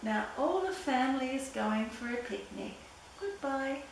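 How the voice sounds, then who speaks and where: A woman's voice: high voice, animated. Older woman reading aloud, very echoey room. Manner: simple, deliberate reading with playful sound words. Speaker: storyteller reading a picture book aloud. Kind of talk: read-aloud children's story. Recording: very echoey room, distant mic, background noise.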